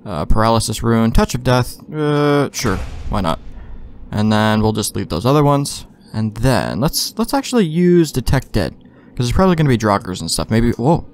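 A man's voice: medium pitch, big pitch swings, moderate pace, slight background noise. A man doing casual gameplay commentary, thinking aloud in informal, mildly profane language. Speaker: let's play host.

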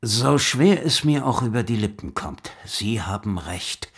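A man's voice: fairly low pitch, expressive, a little slow, slightly rough, exaggerated voice. A man acting a stern, irascible police superintendent, pompous and clipped, in a German radio drama. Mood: gruff, grudging.

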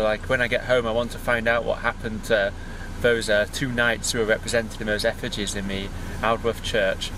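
A man in his thirties with an English accent, chatting casually and enthusiastically in a rambling vlog style. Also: medium pitch. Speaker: vlogger. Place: outdoors on country lanes, or inside a parked car. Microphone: handheld camera at arm's length. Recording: background noise outdoors and in car.

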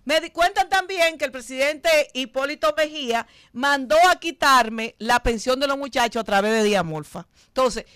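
A woman's voice: high voice, normal speed, few pauses, smooth, no room sound. A woman in her fifties with a Dominican accent, hosting a late-night studio talk show, brash and profane. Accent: Dominican Spanish. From Dominican Republic. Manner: brash, profane and scolding, loud bursts. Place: TV studio set. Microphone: desk mic on stand.

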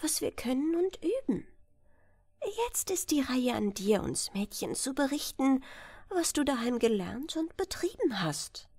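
A woman voicing a gentle young fairytale princess who speaks in a courteous, formal, soft-spoken manner for a storybook. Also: sits high, slightly rough, no echo, full character voice.

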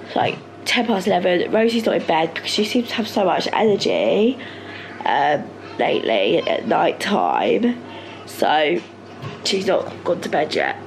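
A young woman from the UK with a croaky voice from a cold, talking to camera at home late at night in a rambling, confiding way. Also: on the low side, very animated, unhurried, faint music behind. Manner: rambling, confiding, many fillers like "like". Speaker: family vlogger, mother. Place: home living room, sofa, indoors at night. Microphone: handheld camera held close to her face.

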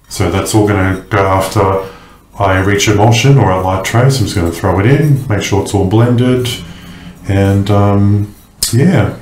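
A man casually explaining his soap making in a kitchen, relaxed and chatty with many pauses and ums. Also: sits low, echoey room, a little hiss or hum. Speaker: soap maker. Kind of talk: casual how-to narration.

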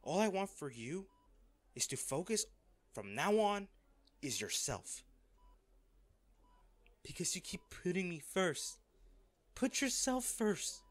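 A man acts out a rich, devoted man in anguish, his voice fairly high for a male. He speaks slowly and earnestly, with heavy pauses. It is an intimate audio drama performance full of feeling. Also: very animated, heavily acted. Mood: tender. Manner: halting, emotional, earnest delivery with pauses. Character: wealthy man, devoted and distraught, confessing his love. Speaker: voice actor in audio roleplay.

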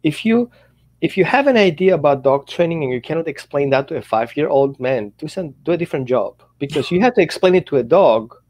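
Casting note: Greek-accented man in his fifties, animated pitch, casual video-call chat, non-native phrasing. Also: fairly quick. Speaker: dog trainer, co-host. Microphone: headset on a video call.

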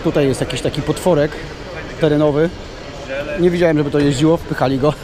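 A hoarse man slowly walking through a big indoor exhibition hall, giving casual chuckling commentary for a video. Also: a little high, steady tone, crowd noise. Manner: slow, rambling, chuckling asides. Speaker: vlogger touring a trade show.

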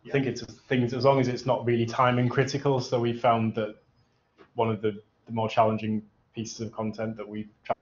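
A man's voice: medium pitch, monotone, brisk. A man in his thirties talking casually about technical work at a seminar. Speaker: researcher co-presenting at a seminar. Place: medium seminar room with hard walls. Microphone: camera microphone in the room. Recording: echoey, dull room recording.

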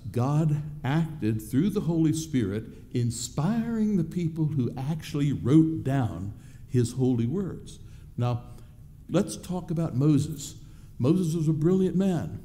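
A man preaching a sermon from a church pulpit in a halting, deliberate, explanatory way. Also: mid-range voice, mellow. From Southern United States. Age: 60s. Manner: halting, deliberate, with repeated words and pauses. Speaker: pastor preaching.

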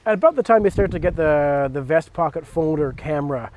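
A man's voice: fairly high pitch, lively intonation, a little fast, darkish tone. A man in his thirties chatting casually outdoors as a host, explaining things in a conversational way. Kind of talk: casual hobby chat and cooking demonstration. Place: outdoors in a leafy backyard.

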